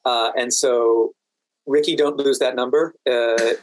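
A man answering interview questions in a measured, careful way, pausing mid-sentence as he explains. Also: mid-range voice, steady tone, rough recording. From United States, Washington DC area. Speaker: podcast guest, standardized patient and exam event administrator.

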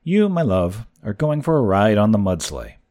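A man's voice: on the low side, very animated, normal speed. Man doing a wry, patient storybook mother in close to his own voice. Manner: wry, gently scolding.

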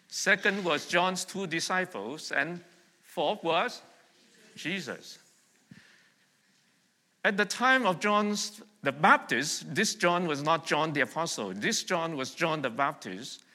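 A man's voice: high-pitched, bright, forceful tone. A middle-aged man from Vietnam preaching a sermon to a congregation, talking in a conversational way and putting questions to the listeners. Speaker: pastor. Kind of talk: sermon with congregation call-and-response. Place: church hall. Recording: long reverb of a church hall.

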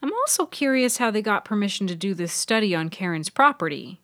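A woman's voice: mid-range voice, animated, fairly quick, dry recording, clean studio sound, voice pushed up, close to an everyday voice. A woman voicing a sweet, conspiratorial young woman intern in a fiction podcast. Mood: suspicious. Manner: sweet, hushed, knowing. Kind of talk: audio fiction character dialogue.

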